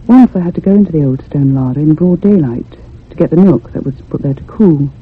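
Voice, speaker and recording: A man playing a troubled, sensitive narrator in a radio ghost story, speaking in measured, earnest, literary phrases. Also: a little high, slightly rough. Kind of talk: dramatic radio horror narration. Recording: tinny, narrow old radio recording with hum.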